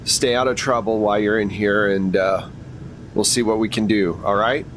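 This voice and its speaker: Man acting, tired public defender, frank, casual, hesitant, tabletop game, faint rumble behind. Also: steady tone, moderate pace, a bit bright, lightly acted. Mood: pragmatic. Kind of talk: improvised tabletop roleplay. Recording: rumbly background noise.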